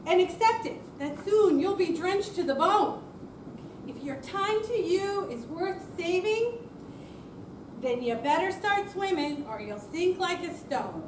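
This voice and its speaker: Woman, theater lyric performance, very high pitch, far from the mic, echo, faint noise. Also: expressive, slow. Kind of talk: performed song lyrics on stage. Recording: distant mic, echoey room, faint noise.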